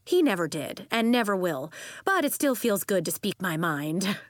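A woman's voice: medium pitch, big pitch swings, moderate pace, no echo, studio quality, close to an everyday voice. A woman voicing a brash, outspoken, vibrant aunt in a story, with a blunt, breezy, exclamatory way of talking.

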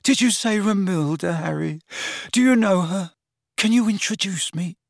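A man voicing a lovesick teenage boy in an audiobook, breathy and strangled, moony and overwrought. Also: high voice, slow, dry recording, studio quality, voice pushed up, exaggerated voice. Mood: lovestruck, dreamy. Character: lovesick teenage boy under a love potion, dreamy then furious. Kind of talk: audiobook character voice.

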